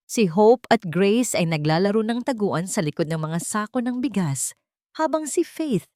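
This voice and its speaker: Filipino woman, low voice, dry close sound, dramatic story narrator, emotive and suspenseful delivery. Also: darkish tone, no room sound. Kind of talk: dramatic scripted narration of a Tagalog romance drama.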